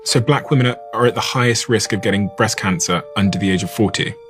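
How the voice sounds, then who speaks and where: A man interviewing and narrating facts, measured and questioning. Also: lowish voice, music in the background.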